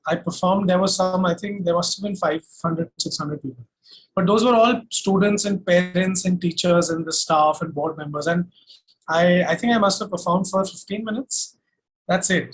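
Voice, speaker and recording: Male interview guest, Indian accent, slightly high husky voice, reflective, unhurried storytelling with hesitations. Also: rough recording. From Delhi, India. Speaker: singer-songwriter being interviewed. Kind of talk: casual reminiscing in an interview.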